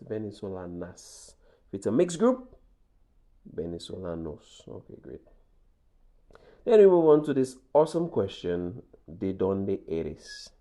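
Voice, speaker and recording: A deep, gravelly man guides a class through a Spanish lesson, pausing often to ask "okay?" and repeating each point. His tone is dark and mellow, and he teaches patiently.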